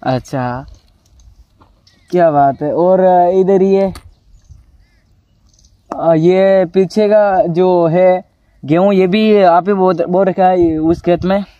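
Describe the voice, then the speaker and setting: A man's voice: high voice, fairly even intonation, drawn-out words, long pauses, warm tone, no echo, highs cut off. A young man from Rajasthan, India, vlogging casually outdoors on his farm with a lapel mic, chatting in a friendly way and asking a child questions. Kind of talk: casual village vlog.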